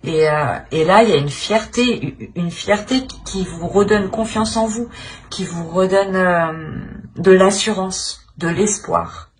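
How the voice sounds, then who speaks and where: A woman's voice: on the low side, slow. A woman giving an improvised tarot reading straight to the viewer, feeling her way through repeated phrases and tag questions. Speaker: tarot reader, channel host.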